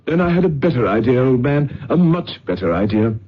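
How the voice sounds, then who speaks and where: An actor playing an anxious, pompous English lord, blustering and stammering in an old-time radio drama. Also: male voice, medium pitch, sing-song, a little fast, breathy edge, highs cut off. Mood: agitated. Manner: blustering, stammering, clipped phrases.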